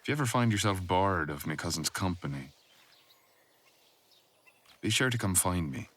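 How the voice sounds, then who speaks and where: A man's voice: low voice, animated, normal speed, fairly bright tone, pitched below the performer's own voice. A man playing a haughty, teasing, flirtatious cousin with an arch, insinuating drawl, in a fantasy audio roleplay.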